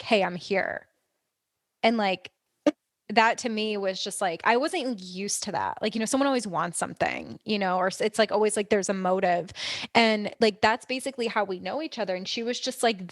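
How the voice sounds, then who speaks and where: A woman's voice: medium pitch, moderate pace, no room sound, clean studio sound. A young woman hosting a podcast, chatting casually and filling her talk with "like". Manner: chatty, many "like" fillers. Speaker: podcast host. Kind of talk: casual interview chat.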